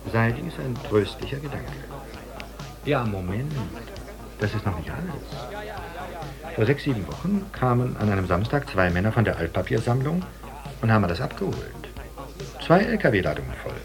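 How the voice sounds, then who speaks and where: An actor playing a narrator in a German radio play, telling a scripted account. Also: male voice, mid-range voice, gravelly. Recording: music under voice, old cassette radio production. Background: music.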